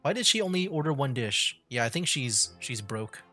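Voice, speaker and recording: A man's voice: medium pitch, sing-song, moderate pace, bright, forceful tone. A man doing casual live game commentary as a streamer, often chuckling as he talks. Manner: chuckles often while talking. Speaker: streamer and gamer. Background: faint game music.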